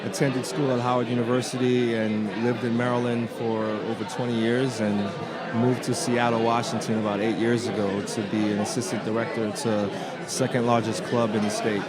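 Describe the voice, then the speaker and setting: A man from Trinidad and Tobago, a soccer club director, answering interview questions in a relaxed way with frequent ums. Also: medium pitch, normal speed. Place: busy bar at a convention. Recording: loud crowd chatter behind.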